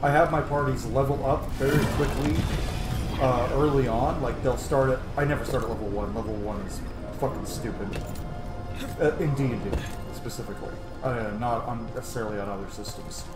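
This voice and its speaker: A man's voice: mid-range voice, steady tone, fairly quick. Roomy-sounding man doing rambling game commentary. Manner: rambling, slangy, casually sweary. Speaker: gamer commentating on own playthrough. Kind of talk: casual let's play commentary. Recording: roomy echo under loud game music. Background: loud video game music.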